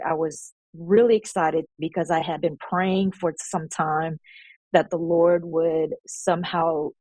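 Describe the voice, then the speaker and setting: A woman reflecting slowly and softly in a podcast interview about contributing to a book. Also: low-pitched, no echo, rough recording.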